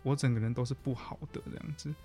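A man's voice: mid-range voice, fairly even intonation, quick pace, mellow. A man with a Taiwanese accent speaks in a mid-range voice as a guest counselor. He talks casually and reflectively, circling back to rephrase what he means. Faint music plays behind him. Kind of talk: casual conversational interview.

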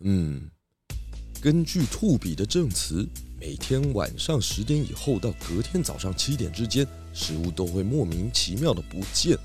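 A man's voice: fairly low pitch, very animated, normal speed, over music. A man playing a portly, confident pig detective in a children's detective audio drama, theatrical and full of exclamations. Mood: pondering, confident.

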